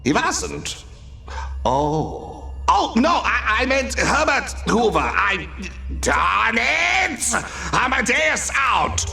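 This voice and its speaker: An actor playing a bombastic, petty, silly supervillain in a comic video game, with a grandiose, hammy delivery. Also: male voice, very high voice, huge pitch swings, very slow, creaky, sharp tone, heavily acted. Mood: flustered indignation. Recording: echoey, with music behind. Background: game music.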